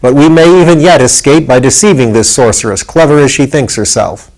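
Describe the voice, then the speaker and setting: A man's voice: mid-range voice, a little fast, a little mellow, voice pushed up, lightly acted, rough recording. A man voicing a scheming, confident old storybook witch with a sly, assertive manner. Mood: sly, foreboding.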